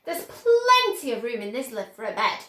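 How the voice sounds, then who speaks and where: A woman's voice: fairly high pitch, very animated, moderate pace, bright, forceful tone. A woman voicing a flamboyant, unflappable male eccentric from a storybook, grandly polite and sure of himself. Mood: breezy. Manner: confident, grandly polite, exclamatory. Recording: roomy, echoing indoor sound.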